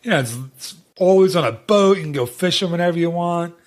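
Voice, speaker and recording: A man's voice: high-pitched, animated, fairly quick. A man hosting a podcast in casual chat, talking in a chatty, rambling way with many restarts. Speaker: podcast host.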